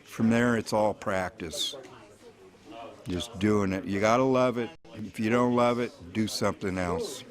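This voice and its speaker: A man's voice: on the low side, measured pace. A middle-aged or older man chatting casually in an interview, folksy, rambling and hesitant. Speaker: engraver. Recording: busy crowd chatter behind.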